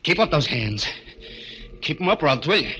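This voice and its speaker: Jittery small-time gangster, bright and forceful. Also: male voice, on the high side, big pitch swings, normal speed, a little hiss or hum. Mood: nervous bluster. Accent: American. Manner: tough-guy slang, blustering, stammering when pressed. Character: jittery, cowardly small-time American gangster nicknamed Chicken. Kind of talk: 1940s radio crime drama acting with narration. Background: organ music.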